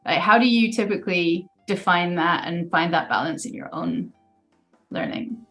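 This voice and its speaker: A woman's voice: fairly low pitch, moderate pace, fairly bright tone, soft background music. Curious woman podcast host. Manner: curious, follows up with questions. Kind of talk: interviewing.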